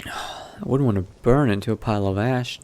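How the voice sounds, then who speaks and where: A man playing a newly turned vampire mage in a game roleplay, musing to himself in a halting, politely understated way. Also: on the low side, a little mellow, lightly acted. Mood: cautious musing. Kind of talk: in-character video game roleplay narration.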